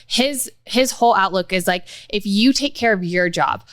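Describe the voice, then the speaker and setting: Young female co-host, candid and casual, smooth tone, dry sound. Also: mid-range voice, normal speed, fairly bright tone, no echo. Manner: candid, swears casually, thoughtful. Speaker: podcast co-host. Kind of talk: casual chat.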